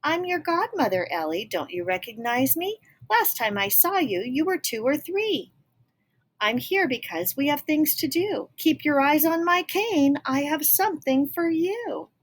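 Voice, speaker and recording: A woman voicing a kindly, brisk old fairy godmother in a picture-book read-aloud, sing-song and reassuring. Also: high voice, very animated, pitched above the performer's own voice. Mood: cheerful, eager.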